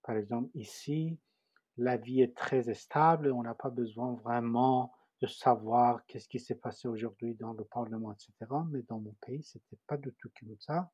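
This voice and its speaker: A man from Iran with a Persian accent, giving a personal testimony in an interview and often pausing to search for French words. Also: medium pitch, steady tone, dark tone, husky, no room sound.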